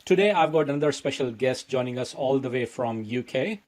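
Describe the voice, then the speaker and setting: This is a man hosting a podcast interview. He asks his questions in a friendly, chatty way. His voice sits at an ordinary middle pitch. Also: lively intonation, fairly quick, a little mellow, a little hiss or hum. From India. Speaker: podcast host.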